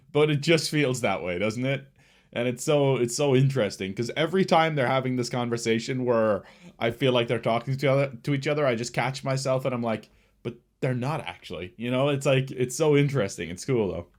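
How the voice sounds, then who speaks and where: Casual male reaction host, slightly nasal. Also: medium pitch. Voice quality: slightly nasal early-morning voice. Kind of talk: casual chatty reaction commentary.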